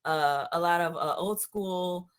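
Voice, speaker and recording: A woman's voice: lowish voice, a little slow, a crowd in the background. A woman with a Puerto Rican accent hosting a casual interview over a call, chatty and enthusiastic, trailing off with fillers. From Puerto Rico. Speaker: show host.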